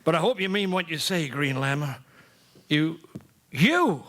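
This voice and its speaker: A man's voice: fairly high pitch, very animated, measured pace. An actor playing a frightened, cornered man in a stage re-creation of an old radio drama, stammering fearfully. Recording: live stage, audience noise behind.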